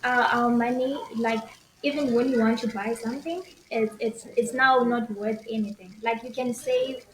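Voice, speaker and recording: Echoey, higher-voiced young Zimbabwean woman. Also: fairly even intonation, moderate pace. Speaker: interview guest. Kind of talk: casual conversational storytelling. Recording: echoey room with faint noise.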